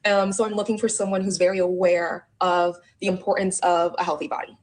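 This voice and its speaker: A woman's voice: fairly low pitch, flat delivery, fairly quick, darkish tone. Hesitant woman in her thirties asking advice. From San Francisco Bay Area. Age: 30s. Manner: hesitant, lots of ums and likes. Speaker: caller seeking advice.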